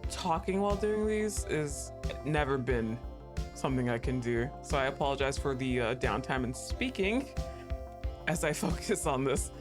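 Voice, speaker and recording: A woman's voice: rumbling low, expressive, normal speed, music in the background. A woman streaming a game, chatting casually, laughing often and swearing now and then. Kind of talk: casual gameplay commentary.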